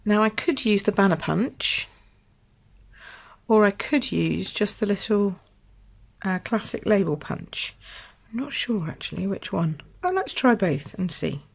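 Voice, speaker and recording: Slightly croaky British crafter thinking aloud. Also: female voice, mid-range voice, lively intonation, a little slow, slight background noise. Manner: chatty, thinks aloud with fillers and small apologies. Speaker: papercraft demonstrator. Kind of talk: casual craft tutorial. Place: indoors at a craft table.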